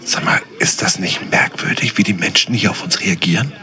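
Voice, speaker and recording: A man's voice: sits low, fairly quick, sharp tone. A man playing a laid-back, slightly self-important travelling buddy in a comedy audio drama, chatty and casual.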